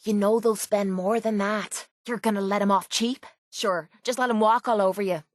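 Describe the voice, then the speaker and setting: A woman's voice: medium pitch, steady tone, moderate pace, dry recording, studio quality, lightly acted. Actress as a brash, tough Irish mercenary woman. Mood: cocky, sardonic. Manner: blunt, cocky, slangy. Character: tough, brash young mercenary woman, sarcastic and foul-mouthed. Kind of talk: video game companion voice lines.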